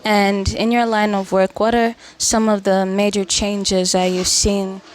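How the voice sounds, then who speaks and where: Slow, measured woman interviewer. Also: medium pitch, level tone, slight background noise. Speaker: interviewer and narrator. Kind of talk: slow scripted narration and interview questions.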